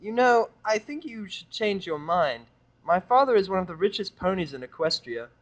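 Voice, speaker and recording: A man's voice: sits high, animated, moderate pace, voice pushed up. A man voicing a spoiled, entitled young rich girl pony with a coaxing, haughty manner. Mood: smugly threatening.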